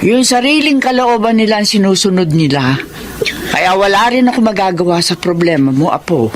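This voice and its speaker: A gentle older grandmother with a soft, sighing way of speaking, as a woman acts her out. Her pitch sits in a middling range. She sounds kindly and weary, like a figure in a family audio drama. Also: animated, normal speed, lightly acted. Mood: resigned.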